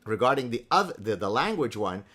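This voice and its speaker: A man from Lebanon whose first language is Arabic, hosting an interview and telling stories in an animated, jovial way. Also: medium pitch. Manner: animated, storytelling, quick to laugh. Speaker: podcast host. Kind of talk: conversational interview.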